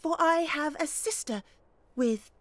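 A woman's voice: high voice, a little slow, a bit bright. Woman playing a sly coaxing duck. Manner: sly, coaxing storybook reading. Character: clever scheming female duck. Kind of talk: picture-book read-aloud.

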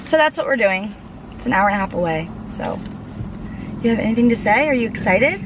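A woman's voice: fairly high pitch, lively intonation, a little fast, background noise. A woman of twenty-one chatting casually to camera from the driver's seat of a car, bubbly and full of fillers. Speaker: vlogger. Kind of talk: casual vlog chat. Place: inside a parked car. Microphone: camera on the dashboard.

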